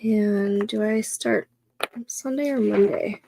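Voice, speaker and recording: A woman in her thirties hosting a casual co-working stream, chatting slowly and a little nasally as she works through her planner. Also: mid-range voice, fairly even intonation, creaky, warm tone, slight background noise, low-quality recording. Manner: slow, chatty, talks to herself while setting up a planner. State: congested, a bit nasal. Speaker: co-working livestream host. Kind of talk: casual chat while working.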